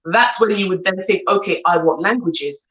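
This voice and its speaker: A woman's voice: on the low side, normal speed, a bit bright, echoey room, lo-fi sound. A woman in her thirties with a London accent, a guest talking earnestly in a casual chat and often asking "do you see what I'm saying". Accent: London English. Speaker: guest, coach and entrepreneur.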